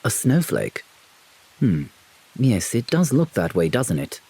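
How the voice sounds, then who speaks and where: A woman playing a haughty, suspicious young prince in an audio play, with a husky voice and an imperious manner. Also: extremely low pitch, big pitch swings, slow pace, dark tone, exaggerated voice. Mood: pensive. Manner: scoffing, imperious.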